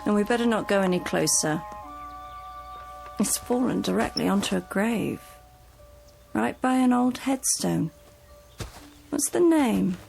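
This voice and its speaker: A woman's voice: mid-range voice, expressive, a little slow, creaky, long pauses. An actress playing a fussy, fretful woman who looks after an invalid in a vintage television drama. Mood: wary, curious. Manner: scolding, fretful. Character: fussy, exasperated female companion to an invalid. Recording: vintage TV soundtrack, faint music behind.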